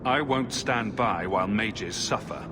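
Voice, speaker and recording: Guy acting as steady game hero, dry and firm, fairly deep voice. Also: measured pace, background noise, lightly acted. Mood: earnest. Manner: firm, matter-of-fact, dryly defiant. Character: steady, dry-witted young male adventurer hero.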